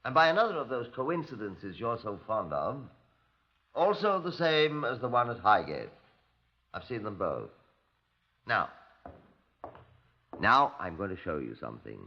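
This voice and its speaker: Fussy pathologist, animated, muffled old radio. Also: male voice, on the low side, a little slow, long pauses, full character voice. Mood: patient, instructive. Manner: hesitant uh's, bossy, instructive. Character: brisk, fussy male pathologist demonstrating to police. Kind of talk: 1950s radio drama acting. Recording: muffled 1950s radio recording.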